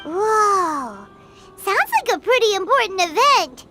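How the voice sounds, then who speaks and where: A woman voicing a tiny, bubbly, childish fairy companion in an anime game, squeaky and excitable. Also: slow pace, exaggerated voice. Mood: curious. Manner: bubbly, speaks of herself in third person. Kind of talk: anime game character acting. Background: background music.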